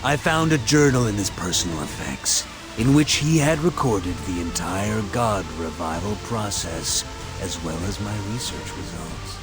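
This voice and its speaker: A gloating, low-voiced megalomaniac villain. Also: male voice, lively intonation. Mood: boastful, menacing. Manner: theatrical, gloating, drawn-out emphasis. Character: gloating megalomaniac male scientist villain, cold and self-satisfied. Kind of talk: dramatic video game villain monologue. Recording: echoey, music underneath.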